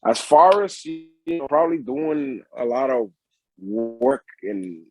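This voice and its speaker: A man's voice: medium pitch, lively intonation, slow, darkish tone, low-quality recording. A man with a Puerto Rican accent, a wrestler recalling his career in a casual interview, often restarting and filling with "uh" and "you know". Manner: rambling, many restarts and fillers. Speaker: pro wrestler guest.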